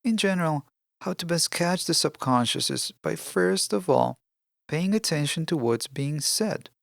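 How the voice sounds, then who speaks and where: A podcast host giving scripted self-help narration in a measured, deliberate, instructional way. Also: medium pitch, lively intonation, gravelly, no echo, studio quality.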